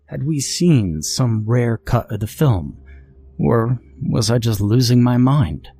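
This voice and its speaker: A man narrating a scripted first-person horror story in a measured, deliberate way. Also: medium pitch, animated, warm tone, breathy edge, no room sound.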